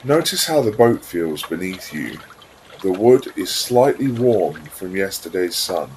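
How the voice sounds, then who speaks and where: A man narrating a scripted guided visualisation in a calm, soothing, unhurried way, leaving pauses between phrases. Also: low-pitched, slight background noise, rough recording.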